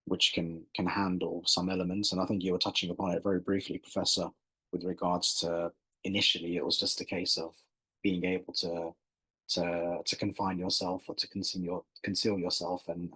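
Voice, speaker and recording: English male barrister, very low and husky voice, measured lawyerly delivery with repeated words, heard over a video call. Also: a bit bright, rough recording. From England. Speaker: barrister and institute director, panelist. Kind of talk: academic panel talk on a video call.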